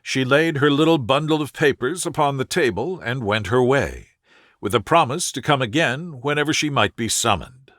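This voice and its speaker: A man's voice: mid-range voice, lively intonation, fairly bright tone, no room sound, studio quality. Soothing male storyteller with melodic intonation. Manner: soothing, measured. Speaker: audiobook narrator. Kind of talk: scripted sleep-story narration.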